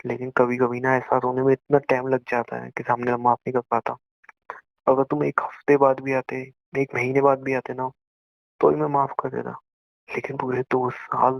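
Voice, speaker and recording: A man's voice: mid-range voice, monotone, normal speed, gravelly, no echo. Wounded young Indian man, muffled phone recording. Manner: wounded, reproachful, repeats himself. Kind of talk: emotional phone conversation with an ex. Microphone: phone call.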